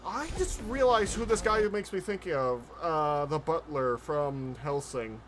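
A man's voice: on the high side, animated, unhurried, a bit bright. A man doing casual streamer commentary as he reacts to a video, close on the mic. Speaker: streamer, VTuber host. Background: trailer music and sounds.

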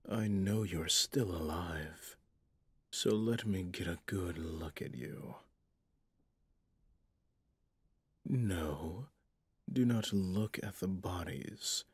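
A man's voice: deep voice, no echo, heavily acted. A man playing a stern, menacing, centuries-old vampire hunter in an ASMR roleplay, commanding and often dropping to a whisper. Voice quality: husky, breathy, gravelly, often dropping to a whisper. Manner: slow, commanding, interrogating.

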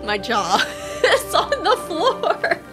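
A young woman giving casual, gushing and expressive reaction commentary as a gaming video host, recorded on a studio mic in a small home room. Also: extremely high pitch, takes it slowly, over music. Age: young adult. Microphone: boom-arm studio mic at desk.

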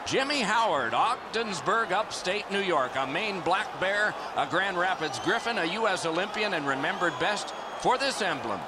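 A male play-by-play announcer calling a hockey game in brisk, clipped broadcast phrases. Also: high voice, lively intonation. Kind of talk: sports broadcast commentary. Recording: game audio under loud arena crowd noise.

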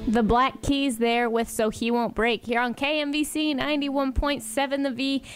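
A woman's voice: sits high, little pitch movement, normal speed, music in the background. A young adult American woman presenting casually on college radio, chatting informally to her listeners.

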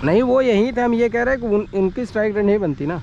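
A man playing cricket, excitably calling out instructions and banter to his teammates on an outdoor ground. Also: high voice, quick pace, gravelly, lo-fi sound. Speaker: cricket player on the field. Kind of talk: shouted on-field calls and banter. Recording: noisy open-air field recording.

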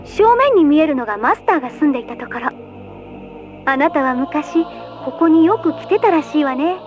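Very high-pitched perky girlish guide. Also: expressive, normal speed, phone-quality sound. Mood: playful, helpful. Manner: chirpy, teasing, casual explaining. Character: perky, playful young female guide and ally. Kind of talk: animated Japanese video game cutscene acting. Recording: music behind the voice.

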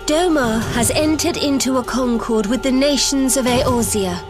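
Female actor as young video game sultana: higher pitched, formal, earnest, regal. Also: normal speed, over music, natural voice. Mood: earnest, resolute. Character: small, dignified young sultana, earnest and resolute.

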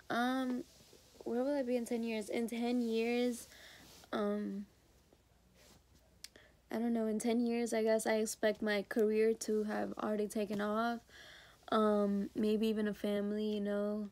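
A sick teenage girl with a hoarse voice, talking hesitantly to her phone camera in her bedroom for a school video diary. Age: teens. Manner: hesitant, many ums, soft and low-key. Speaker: high school student. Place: bedroom indoors. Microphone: phone or laptop camera at arm's length.

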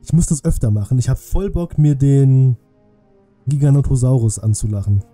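A male streamer with a mid-pitched voice gives casual commentary on a game. He thinks aloud in colloquial, chatty phrasing as he plays. Music is clearly audible behind him. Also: lively intonation, moderate pace, dark tone, no room sound. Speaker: live streamer hosting a gaming stream. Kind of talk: casual live game commentary with chat replies. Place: small indoor home streaming room.

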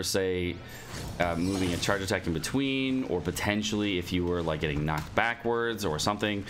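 Low-voiced young man, casual gaming streamer. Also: fairly bright tone, a little hiss or hum. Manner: informal, slangy, swearing. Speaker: game streamer. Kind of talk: casual gaming news commentary. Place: indoor home streaming setup.

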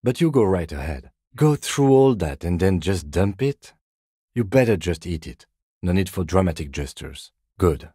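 An actor playing a composed, dry-witted, by-the-book middle-aged police detective in a video game, speaking in a measured, precise, deadpan way. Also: male voice, sits low, breathy edge, dry recording, full character voice. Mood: dry, reserved. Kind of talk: video game character dialogue.

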